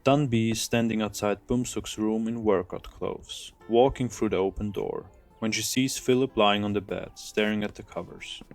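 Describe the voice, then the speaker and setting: A man's voice: lowish voice, normal speed, hardly any pauses, soft background music. A man reading scripted narration for an audio drama.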